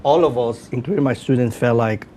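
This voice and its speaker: A middle-aged man with a Venezuelan accent tells his answers as an interview guest. His pitch is average, and he speaks conversationally with informal asides. There is noticeable low rumbling noise in the recording. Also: fairly quick, warm tone. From Caracas, Venezuela. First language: Spanish. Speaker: guest, professor and podcast host.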